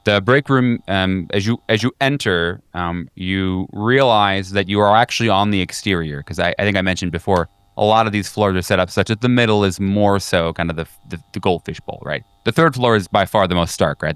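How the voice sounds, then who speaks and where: A man narrating a tabletop horror game as game master, improvising in a conversational, halting, descriptive way. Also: sits low, a bit bright, clean tone, no echo.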